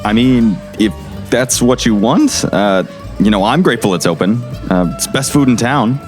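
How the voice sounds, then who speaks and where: A man's voice: medium pitch, moderate pace, over music, lightly acted. A man playing a weary, exasperated small-town sheriff in a radio drama. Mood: sympathetic, awkward.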